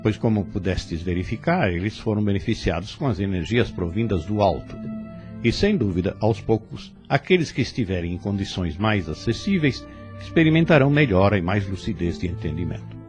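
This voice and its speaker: A man playing a wise, serene spirit instructor in an audio drama, teaching in a solemn, measured way. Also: on the low side, animated, darkish tone, natural voice. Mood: solemn, instructive. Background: music.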